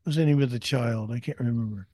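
A man's voice: mid-range voice, fast, mellow, no echo. A man from the American Midwest and Texas, chatting casually in short conversational remarks. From Iowa and Texas, United States. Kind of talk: casual chat.

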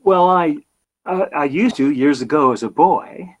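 A man's voice: on the high side, unhurried, lo-fi sound. An actor playing a bumbling, sheepish customer who stammers through his bluffs in an old-time radio comedy. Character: flustered, bumbling male customer bluffing as big-game hunter.

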